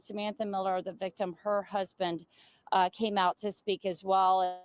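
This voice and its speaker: A woman's voice: medium pitch, no room sound. A woman presenting live television news as an anchor.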